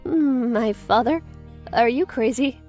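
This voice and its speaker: A woman's voice: on the high side, slow pace, warm tone, faint music behind. A woman playing a haughty, scheming schoolteacher in an anime-style comic dub, theatrical, flustered and pleading. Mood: indignant panic. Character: haughty, scheming female schoolteacher desperate for a rich fiancé.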